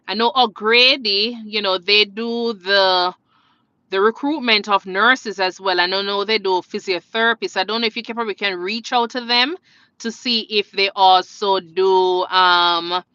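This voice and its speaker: A woman's voice: medium pitch, sharp tone, no room sound, lo-fi sound. A woman with a Jamaican accent hosting a casual live question-and-answer stream, chatting conversationally and addressing viewers directly. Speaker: live stream host, nurse.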